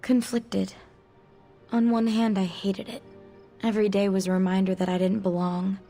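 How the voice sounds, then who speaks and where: A woman's voice: medium pitch, little pitch movement, normal speed, dark tone, faint music behind, natural voice. A woman playing a guarded, earnest young mutant outcast in video game dialogue, speaking casually and candidly with some hesitation. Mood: wistful, sincere.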